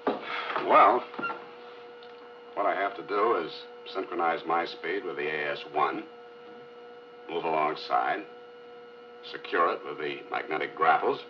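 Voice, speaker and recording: An actor playing a stoic, calmly confident male space pilot in a 1950s science fiction film. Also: rumbling low, normal speed, long pauses. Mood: calm, technical. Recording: muffled, noisy old film soundtrack.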